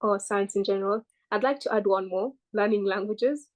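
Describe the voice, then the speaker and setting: A woman's voice: medium pitch, moderate pace. Chatty woman with a British-sounding accent. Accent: British-sounding English. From Kenya. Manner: chatty, rambling, self-deprecating. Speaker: guest. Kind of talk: casual interview answers.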